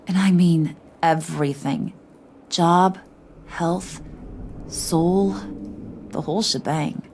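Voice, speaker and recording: A woman's voice: on the low side, slow, darkish tone, a little hiss or hum. A woman playing a brash, kooky, flirtatious crime boss in a video game, with a slangy, teasing New delivery. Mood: wry, teasing. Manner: slangy, playful, teasing, wise-cracking.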